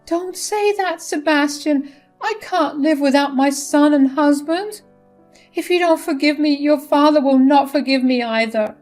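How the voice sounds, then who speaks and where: A woman's voice: sits high, a little slow, darkish tone, pitched above the performer's own voice. A woman voicing a desperate middle-aged mother-in-law who pleads and whines, over faint music. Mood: begging.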